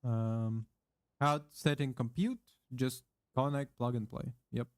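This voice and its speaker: Male streamer, mid-range voice, close dry mic; halting read-aloud with fillers and casual cursing. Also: medium pitch, steady tone, clear, resonant tone, dry recording. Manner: halting reading full of "uh" fillers and restarts, offhand swearing. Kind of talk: reading a product manual aloud, casual chat. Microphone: condenser microphone with pop filter, close.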